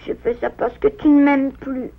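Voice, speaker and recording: A woman's voice: high-pitched, little pitch movement, moderate pace. A woman voicing a sensitive, contrite young beaver in a French cartoon, plaintive and childlike. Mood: sad, contrite. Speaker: voice actor. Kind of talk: French cartoon dub acting. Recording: dull lo-fi cartoon soundtrack, music behind.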